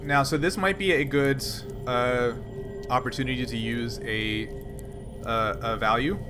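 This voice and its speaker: A man at a mid pitch guides players through the rules and describes the scene as game master. He talks in halting bursts full of fillers and restarts. Clear music plays underneath. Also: steady tone. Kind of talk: running and narrating a tabletop game.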